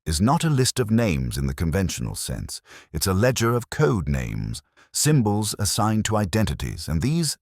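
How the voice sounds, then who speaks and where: A man voicing an intense, precise detective in an audio story, speaking in a deliberate, commanding, didactic way. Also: fairly low pitch, huge pitch swings, no echo, studio quality, voice pushed up, exaggerated voice. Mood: intent, measured.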